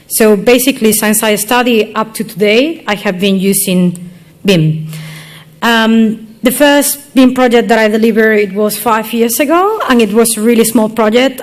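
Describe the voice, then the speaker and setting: A young woman from northwest Spain speaks English with a Spanish accent at a conference. Her voice sits at a middle pitch, and her delivery is loose and chatty, full of fillers and self-deprecating asides. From Galicia, northwest Spain. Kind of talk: conference talk. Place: conference hall.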